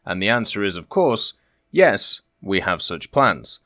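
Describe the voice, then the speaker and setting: A man's voice: on the low side, big pitch swings, measured pace, sharp tone, no echo, studio quality. A man hosting a gaming channel, giving casual, conversational and opinionated commentary as he reads and discusses questions and answers. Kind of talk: casual video commentary, reading and discussing developer Q&A.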